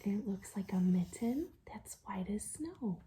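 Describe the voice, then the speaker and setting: Lady doing storytime for little kids, really animated pitch swings, takes it slow. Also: background noise. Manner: expressive, drops to hushed excited asides with audible gasps. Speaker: teacher reading a storybook to children. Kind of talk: animated read-aloud storytime with asides to young listeners.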